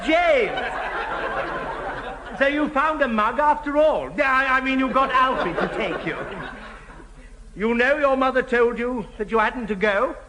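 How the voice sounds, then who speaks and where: A man's voice: very high-pitched, unhurried, long pauses. A man playing a fussy, hesitant, well-meaning churchgoing neighbour in a 1970 British radio sitcom, with a prim manner. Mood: exasperated. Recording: hissy old radio recording.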